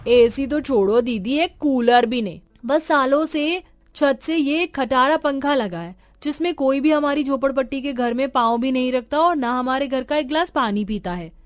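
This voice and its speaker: Woman as cartoon teenage daughter, high voice, whiny, brash, discontented, eager. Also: moderate pace, no echo, close to an everyday voice. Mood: whiny complaining.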